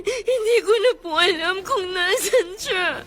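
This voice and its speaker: A remorseful young Filipina played by a woman in an audio melodrama. Her very high voice is meek and pleading, always respectful and apologetic. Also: measured pace, fairly bright tone. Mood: tearful pleading. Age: young adult. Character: remorseful young pregnant daughter, meek and tearful.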